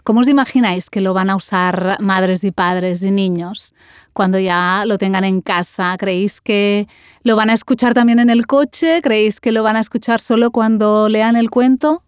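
A woman's voice: medium pitch, moderate pace, clean tone, no echo, clean studio sound. A woman hosting a podcast interview, engaged and asking follow-up questions.

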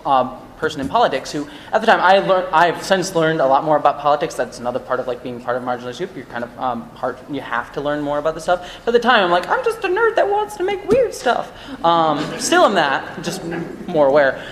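A man's voice: a little high, sing-song, a little fast, few pauses, fairly bright tone. A man on a podcast panel, speaking earnestly in a rambling way with many fillers. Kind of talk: casual panel discussion. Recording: echoey live room, long reverb.

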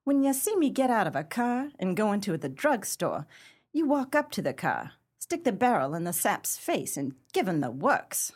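A woman playing a tough, proud 1930s gang leader in a pulp crime radio play, with brassy, slangy gangster talk and a husky voice. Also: mid-range voice, very animated, normal speed. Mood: tough, challenging.

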